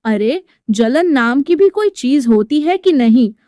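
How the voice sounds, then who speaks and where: A woman's voice: sits high, animated, slow pace, dark tone, no room sound, studio quality. A woman voicing a brazen, evasive housemaid wife in a dramatised story, retorting dismissively. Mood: scornful. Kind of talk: dramatised Hindi moral storytelling.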